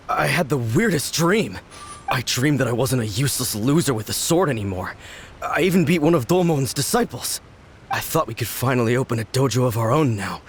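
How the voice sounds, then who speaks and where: A man's voice: mid-range voice, slight background noise, close to an everyday voice. A man as a shaken, timid young swordsman. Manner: earnest, fretful. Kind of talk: video game voice acting.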